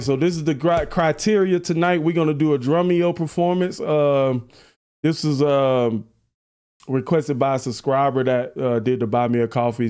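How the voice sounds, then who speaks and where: A man with a deep voice hosting a live reaction stream, chatty and laughing at his own jokes. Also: dark tone, clear, resonant tone. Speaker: livestream reaction host. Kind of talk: casual live-stream chat.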